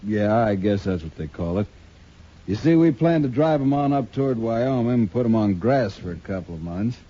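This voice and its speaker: A muffled old radio western recording of a crafty cattle driver. The voice is low and very dark, with a folksy way of talking and a careful politeness. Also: male voice, lively intonation, moderate pace. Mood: polite, folksy. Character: crafty older-brother trail driver, polite stranger sizing people up. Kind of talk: 1950s radio western acting.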